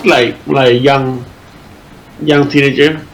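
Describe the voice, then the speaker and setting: A man's voice: mid-range voice, steady tone, slow, slight background noise, low-quality recording. A man chatting casually as co-commentator on a game stream. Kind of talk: casual chat.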